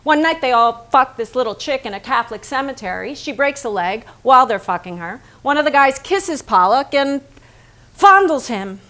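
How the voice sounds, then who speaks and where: A woman performing as a bitter, possessive painter's wife, with a fairly high voice. She moves between storytelling and dialogue in a staged play reading, sardonic and exasperated with her husband. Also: animated, moderate pace, a bit bright. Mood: bitter, wry. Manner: sardonic, biting. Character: Lee, embittered painter's wife, sharp-tongued, possessive, exasperated. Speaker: stage actress. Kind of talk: theatrical staged reading, narration mixed with dialogue.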